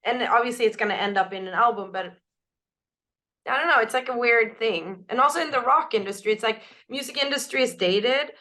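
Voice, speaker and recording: A woman singer with a naturally raspy voice, answering interview questions in a loose, candid way, full of "like" and "you know". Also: a little high, fairly quick, sharp tone. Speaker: band singer.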